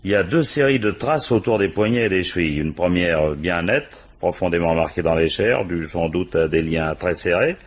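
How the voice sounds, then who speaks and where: An actor playing a brusque, authoritative French police boss in a 1950s-style radio mystery, with curt colloquial phrasing. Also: male voice, sits low, moderate pace, few pauses. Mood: pondering. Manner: curt, authoritative, colloquial, frequent "bon" and "hein".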